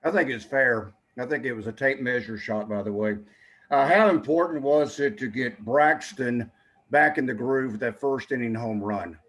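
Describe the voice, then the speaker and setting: A man's voice: mid-range voice, moderate pace, fairly bright tone. A man working as a reporter, asking direct questions at a postgame press conference.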